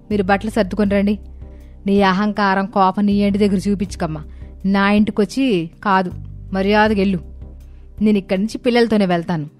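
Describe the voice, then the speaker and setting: A woman's voice: medium pitch, darkish tone, natural voice. A proud young aunt, acted by a woman in a cartoon family story, with an emphatic delivery. Her voice is mid-range for a woman, over light music. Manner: stern, emphatic, scolding. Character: proud, indignant young aunt, quick to soften.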